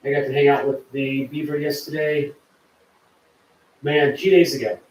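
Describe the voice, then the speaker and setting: A man's voice: a little high, little pitch movement, normal speed, echoey room, recorded from a distance, slight background noise, rough recording. A man hosting a live stream, chatting casually and reading viewer comments aloud. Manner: chatty, warm to viewers, reads chat aloud. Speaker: livestream host.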